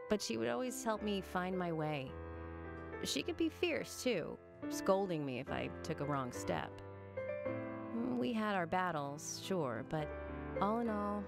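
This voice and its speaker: Game cutscene role: gentle, earnest young woman, music clearly behind. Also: lowish voice. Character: gentle, wistful young woman remembering her late mother. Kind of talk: video game cutscene acting. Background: game soundtrack music.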